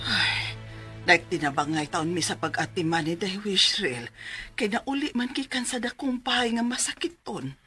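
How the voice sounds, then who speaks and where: An actress playing a gentle, worried middle-aged mother in a radio drama, speaking cautiously. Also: medium pitch, animated, normal speed. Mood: concerned. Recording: music behind.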